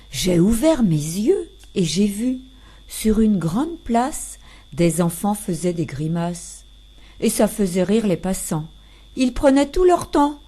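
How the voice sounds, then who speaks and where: A woman reciting poetry expressively, with deliberate, measured phrasing and pauses between the verses. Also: medium pitch, mellow. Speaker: poetry reader.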